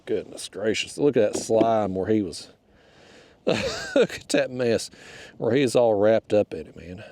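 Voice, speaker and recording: Kayak fishing host, man, gravelly and mellow voice, sounds stuffed up. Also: on the low side, lively intonation, measured pace, no echo. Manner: chatty, talks to the fish and to viewers. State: stuffed-up, nasal from a cold wind. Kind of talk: casual narration while fishing. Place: outdoors on a kayak on a river.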